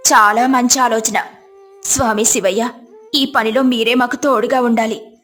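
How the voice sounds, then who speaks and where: A woman voicing a poor, devout village wife in a moral story, gentle, respectful and plaintive. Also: on the high side, steady tone, a little slow, faint music behind. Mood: encouraging.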